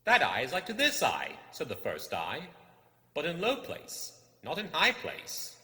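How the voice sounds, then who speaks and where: A man voicing an anxious but quick-witted little hobbit in a storybook reading, speaking out loudly and emphatically. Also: a little high, huge pitch swings, voice pushed up, full character voice. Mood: eager riddling. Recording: cavernous hall reverb.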